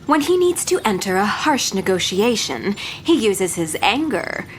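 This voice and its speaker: A woman playing a suave, mocking crew leader in an audio drama, deliberate and theatrical in her taunts. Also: mid-range voice, big pitch swings, a little hiss or hum. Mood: knowing, explanatory. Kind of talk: scripted audio drama acting.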